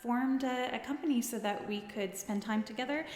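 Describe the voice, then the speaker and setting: A woman's voice: fairly high pitch, normal speed, darkish tone, slight background noise. A woman talking casually in an interview as a theatre performer. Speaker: theatre company founder and performer. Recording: echoey, reverberant hall.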